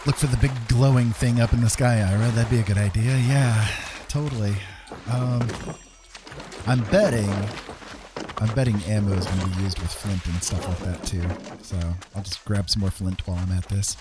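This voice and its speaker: A man's voice: lowish voice, fairly even intonation, moderate pace. A man doing casual live gameplay commentary, musing and thinking aloud in a rambling, self-directed way. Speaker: game streamer. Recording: clear background game noise. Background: video game sounds.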